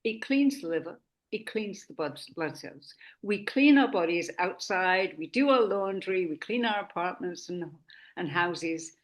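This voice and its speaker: A woman hosting a health conversation, from Sweden, talking slowly and deliberately with frequent restarts. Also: lowish voice, lively intonation. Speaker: host. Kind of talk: casual talk.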